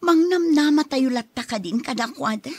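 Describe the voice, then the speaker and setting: A woman's voice: sits high, animated, moderate pace, darkish tone, no room sound. An actress playing a worn-down young wife and mother who pleads, in a Filipino radio drama with a Filipino accent. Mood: frustrated.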